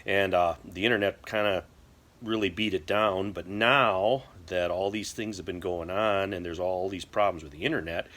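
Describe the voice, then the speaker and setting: Deep-voiced middle-aged American man. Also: moderate pace, a bit bright. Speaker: prepper video host. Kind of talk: casual explanatory talk to camera. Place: indoor workshop or garage.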